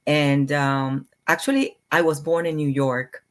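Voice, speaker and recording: Slow, low-voiced woman guest. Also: steady tone. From Puerto Rico. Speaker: clinical psychologist guest. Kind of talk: interview conversation.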